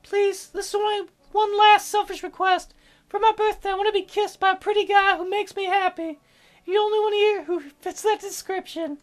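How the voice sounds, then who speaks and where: A man's voice: extremely high pitch, fairly even intonation, moderate pace, voice pushed up. A man voicing a teasing, wistful, stubborn young sick girl in a visual novel, with a hesitant, pleading way of talking. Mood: frank, wistful.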